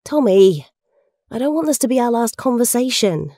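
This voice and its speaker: A woman's voice: on the high side, sing-song, normal speed, noisy background. A woman playing a lovelorn, outspoken young heroine in an amateur audio drama, speaking in an earnest, pleading way. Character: Kat, lovelorn, outspoken young female hero.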